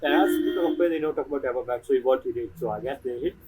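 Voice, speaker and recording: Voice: medium pitch, rapid-fire, a little mellow, recorded from a distance, a little hiss or hum. A game streamer giving casual, chatty reactions while playing.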